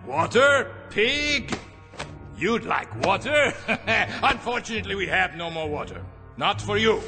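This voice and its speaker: Radio drama casting: a stammering, cruel ex-officer, high and wildly swinging, slow, music under. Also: male voice, sing-song, sharp tone, heavily acted. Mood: sadistic. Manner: stammering, mocking laughs, then fearful. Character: guilty former male camp captain, older, cruel. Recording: radio play with music behind.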